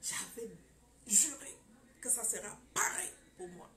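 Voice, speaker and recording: A woman's voice: a little high, sing-song, unhurried, creaky, sharp tone, a little hiss or hum. Impassioned woman preaching in an echoey room. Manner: impassioned, repeats phrases, rhetorical questions. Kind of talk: preaching testimony talk. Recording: echoey room with faint ambience.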